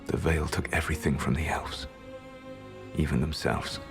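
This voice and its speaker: A man voicing an ancient elven trickster god in a video game, grave, proud and regretful, speaking in measured, formal phrases. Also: extremely low pitch, steady tone, vocal fry, over music. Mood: regretful, solemn. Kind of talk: dramatic video game dialogue.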